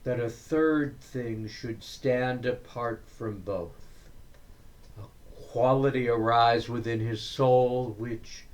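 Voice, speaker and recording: A man reading a dramatic monologue in verse, in a deliberate, declamatory manner with frequent pauses. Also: mid-range voice, fairly even intonation. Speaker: poetry reader. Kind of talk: dramatic monologue poetry recitation.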